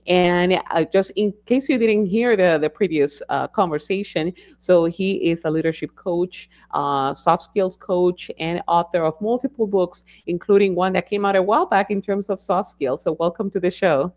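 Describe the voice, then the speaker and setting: Low-voiced woman hosting a live podcast. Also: normal speed, hardly any pauses, darkish tone. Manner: conversational, many fillers. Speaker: podcast host.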